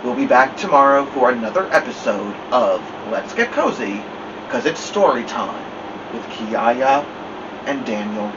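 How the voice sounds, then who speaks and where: Male story time host, middle-aged, lively expressive reading, slightly distant room mic with faint noise. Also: mid-range voice, a little slow, muffled recording. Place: small furnished room indoors.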